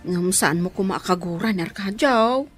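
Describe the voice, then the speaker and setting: A woman's voice: medium pitch, normal speed, soft background music, lightly acted. A woman playing a teasing, coaxing household helper who loves matchmaking, in an Ilocano radio-style drama. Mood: confiding. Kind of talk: Ilocano audio drama acting.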